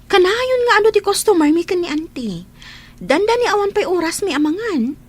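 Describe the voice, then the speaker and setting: A woman's voice: very high voice, fairly quick. A woman playing a chatty, teasing young friend in a radio drama, exclaiming often, with an Ilocano accent. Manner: animated, exclaiming.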